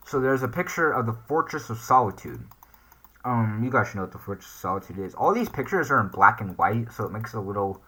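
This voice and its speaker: A man's voice: on the low side, bright, forceful tone, slightly rough, low-quality recording. A man hosting a casual reaction video, hesitantly thinking aloud as he comments and reads text off the screen. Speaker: YouTube reaction host.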